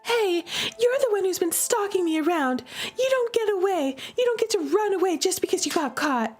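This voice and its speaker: A fierce teenage sister, as a woman reading a game plays her, high and shrill and bossy. Her voice swings widely, with faint music behind. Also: animated, moderate pace, darkish tone. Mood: shrill, urgent. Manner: shrill, commanding. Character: fierce teenage girl, protective older sister.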